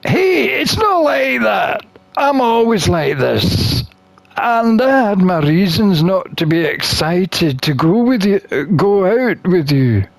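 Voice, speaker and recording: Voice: medium pitch, sing-song, slow, gravelly, dry recording, heavily acted. A person voicing a flustered, protective satyr from a visual novel in a hesitant, stammering way. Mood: defensive. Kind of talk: acted visual novel dialogue reading.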